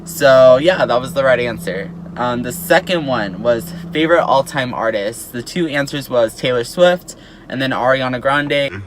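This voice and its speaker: An eighteen-year-old guy talks straight to his followers in a chatty vlog, his voice sitting at an ordinary middle pitch. He fills his sentences with "like" and "um", and a faint hum of background noise runs underneath. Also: expressive, moderate pace. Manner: chatty, peppered with "like" and "um". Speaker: YouTuber. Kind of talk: casual vlog chat to camera.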